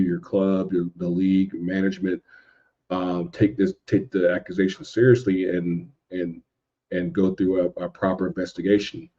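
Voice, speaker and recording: A man in a casual podcast discussion, speaking haltingly and repeating words as he works out his thoughts. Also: low voice, warm tone. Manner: halting, repeats words while thinking, mild profanity. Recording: dull, lo-fi microphone sound.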